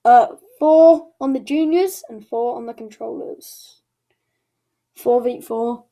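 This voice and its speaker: A woman's voice: on the high side, slow pace, mellow. A woman casually announcing results as the host of an online show, chatty and thinking aloud as she goes.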